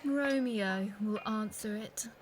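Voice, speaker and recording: A woman's voice: medium pitch, takes it slowly, lightly acted. A woman playing a level-headed, loyal young friend in a Shakespeare audio drama, plainly spoken and recorded on a phone. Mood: wry concern. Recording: home phone recording, faint noise.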